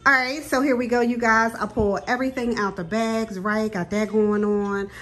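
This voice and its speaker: A woman's voice: fairly high pitch, steady tone, normal speed, fairly bright tone. A middle-aged woman, chatting casually to her viewers in her kitchen while she films her groceries, calling them "girl" and laughing often. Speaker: vlogger. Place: home kitchen. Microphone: phone camera filming hands over a counter.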